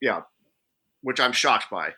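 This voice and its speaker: A man with a bright, forceful tone at an average pitch. He speaks conversationally and informally as a panelist in a casual comic book discussion. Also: lively intonation, slow pace, breathy edge. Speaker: podcast panelist.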